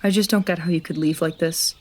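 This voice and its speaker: An actress playing a hurt, loving young woman in an audio drama, plaintive and halting. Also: on the low side, steady tone, a little mellow, natural voice. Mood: hurt, sad. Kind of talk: naturalistic audio drama acting.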